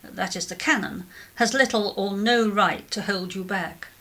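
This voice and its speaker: A woman's voice: mid-range voice, a little slow, pushed and bright. An actress playing a genteel, regretful middle-aged clergyman's wife in a 1930s radio drama, speaking in a formal, hesitant way. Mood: regretful, evasive.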